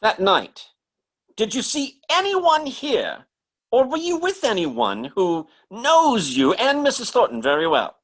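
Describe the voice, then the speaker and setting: An actor playing an earnest, insistent telepathic stage mentalist in a radio mystery, speaking in a dramatic, halting, emphatic way. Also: male voice, very high-pitched, huge pitch swings, a bit bright, heavily acted. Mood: probing, solemn. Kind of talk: old-time radio mystery drama acting.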